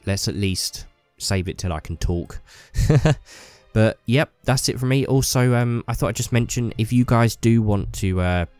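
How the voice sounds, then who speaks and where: A man's voice: mid-range voice, unhurried, a little mellow, no room sound, soft background music. A man with a cold, sounding a bit bunged up, chatting casually and enthusiastically to his channel viewers, rambling with fillers. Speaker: YouTuber. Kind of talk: casual hobby vlog talk.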